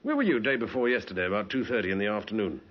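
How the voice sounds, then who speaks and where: An actor playing a wry, patient police inspector in an old radio drama, questioning with dry sarcasm. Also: male voice, lowish voice, sing-song, rapid-fire. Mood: probing. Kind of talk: 1950s radio drama acting. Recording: muffled old radio recording.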